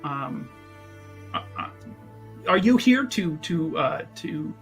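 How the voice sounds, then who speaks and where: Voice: medium pitch, expressive, slow. A player voicing a flamboyant, rambling male mage who stammers and gushes in improvised tabletop roleplay, with music behind. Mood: flustered, gushing. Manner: hesitant, stammering, effusive.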